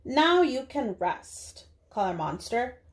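A young woman, a preschool teacher, speaking to small children in a deliberate read-aloud style with drawn-out words. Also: fairly high pitch, very animated. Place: small indoor room.

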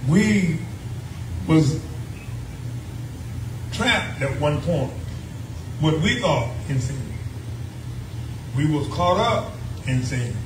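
A middle-aged man with a Southern American accent preaching a call-and-response sermon, building from steady talk up to cries of praise. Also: a little high, extremely slow, pauses often, noisy background. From United States. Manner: preaching, rising to shouts of praise, repeats phrases. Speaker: pastor. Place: small church sanctuary, indoors. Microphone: handheld mic, filmed from the pews. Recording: roomy church sound from a distance with music behind.

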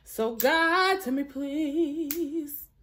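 A woman's voice: extremely slow, a bit bright. Young woman, alto voice, chatty, singing along, phone close up in a small bedroom, faint music behind. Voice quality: deep alto voice. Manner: chatty, talks to viewers between sung lines. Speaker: vlogger learning a song. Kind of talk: casual singing practice vlog. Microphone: phone camera held close.

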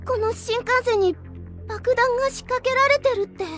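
A woman playing an excitable, easily flustered schoolgirl in an anime audio drama. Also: extremely high pitch, normal speed, faint music behind. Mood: alarmed.

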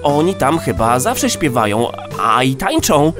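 Playful, lively little rat. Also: male voice, mid-range voice, measured pace, music in the background. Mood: searching, playful. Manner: hesitant fillers, playful. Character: friendly, teasing, encouraging male little rat. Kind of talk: children's audio play acting.

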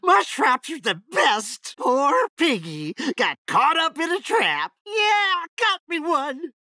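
An actor playing a giddy, sadistic young backwoods killer in a video game, with a Texan accent, a high raspy voice and an excitable, folksy stammer. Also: male voice, slow, no room sound, clean studio sound, full character voice. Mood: taunting, gleeful. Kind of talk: video game character voice lines.

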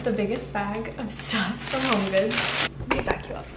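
A young woman in her twenties chatting casually as she vlogs about decorating her apartment, thinking out loud with lots of filler words. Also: mid-range voice, normal speed, loud music behind the voice, rough recording. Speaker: lifestyle vlogger. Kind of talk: casual vlog chat to camera. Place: apartment balcony, car and kitchen.

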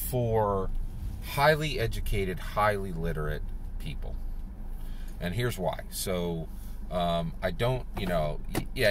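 A man in his fifties vlogging from a moving car on a lapel mic, musing aloud and tagging "right?" onto his points. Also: low voice. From Philadelphia, USA. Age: 50s. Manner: rambling, circles back with "right?". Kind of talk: casual talking-head monologue. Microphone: clip-on lapel mic. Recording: car and road noise behind. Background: road and engine noise.

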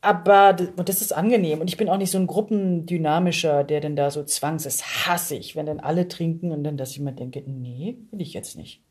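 A woman chatting informally as a podcast guest, often correcting herself, with a husky voice. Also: low voice, steady tone, fairly quick, hardly any pauses, darkish tone. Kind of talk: casual chat.

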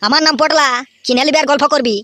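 Cartoon drunkard, acted: swaggering, touchy villager, crude, rural West Bengal accent, bright and fast-talking. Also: high voice, dry recording. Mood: offended, boastful. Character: brash, boastful drunkard villager, easily offended. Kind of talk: comedy cartoon dubbing. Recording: music behind the voice.

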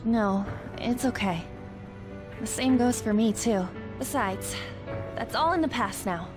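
An actress playing a fiery, loyal teenage high school girl in an English video game dub. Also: a little slow, over music, natural voice. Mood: subdued.

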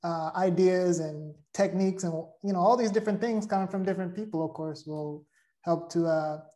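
A woman's voice: on the low side, normal speed, mellow. A woman hosting a casual interview, speaking in an appreciative, affirming and conversational way. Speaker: interview host. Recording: roomy, echoey sound.

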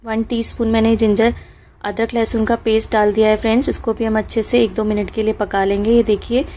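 A woman's voice: fairly high pitch, little pitch movement, a little fast, dark tone. A woman hosting a home cooking channel, talking listeners through a recipe step by step in a friendly, instructive way. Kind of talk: home cooking tutorial voice-over. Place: home kitchen stove.

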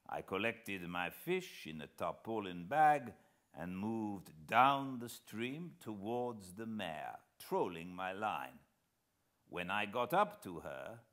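A man voicing a wise, unhurried older Frenchman telling a hunting tale very slowly and deliberately in an audiobook reading. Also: fairly low pitch, a bit bright, exaggerated voice. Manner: very slow, deliberate, measured storytelling.

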